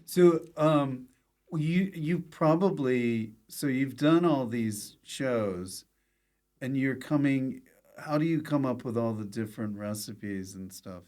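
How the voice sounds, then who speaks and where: A man's voice: mid-range voice, takes it slowly, darkish tone. A man hosting a podcast, interviewing casually with a loose, dryly playful manner. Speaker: podcast host.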